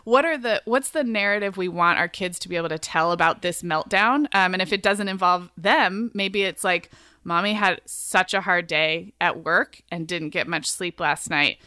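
A woman's voice: on the low side, moderate pace, sharp tone, clean tone, no room sound, studio quality. A woman co-hosting a podcast, giving advice conversationally and thoughtfully, often spelling things out with example phrasings. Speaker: podcast co-host. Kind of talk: casual conversational advice talk.

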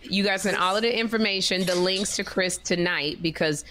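A woman hosts a podcast at a middle pitch. She is effusive and gives directions, restarting and repeating her words as she talks. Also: normal speed, a crowd in the background. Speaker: podcast host. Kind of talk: casual podcast chat.

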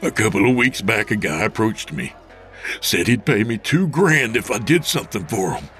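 A man's voice: on the low side, lively intonation, moderate pace, fairly bright tone, soft background music. Guy putting on a whiny stammering crook, scared, audiobook voice. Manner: stammering, whining. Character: scared whiny adult male lowlife.

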